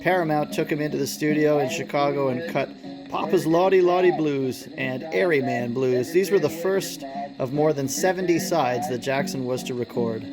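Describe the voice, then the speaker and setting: A man hosting a history video, explaining things informally in a somewhat halting way. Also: medium pitch. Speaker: host of a music history video series.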